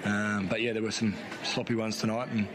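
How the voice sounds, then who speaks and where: Hoarse coach, low voice. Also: male voice, flat delivery, background noise. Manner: halting, frank, many fillers and restarts. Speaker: head coach. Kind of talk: post-match press conference answers.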